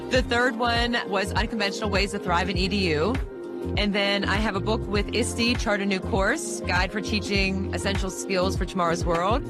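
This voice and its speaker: A woman's voice: mid-range voice, steady tone, moderate pace, loud music behind the voice. A woman talking as a guest author and educator in a casual, upbeat, chatty way, with lots of "like" fillers, at an education conference. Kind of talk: casual interview chat.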